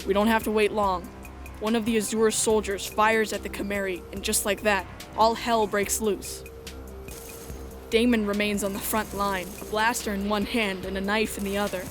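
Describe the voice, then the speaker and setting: Woman narrating drama over music. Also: medium pitch. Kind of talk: scripted first-person dramatic story narration.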